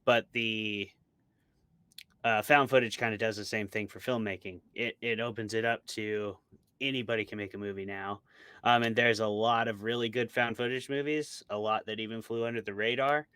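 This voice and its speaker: A man's voice: lowish voice, fairly even intonation, normal speed, pushed and bright, smooth, dry recording. A man talking casually as an interview guest, giving his opinions and explaining them with examples. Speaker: interview guest, author and podcast co-host. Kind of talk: casual conversational discussion.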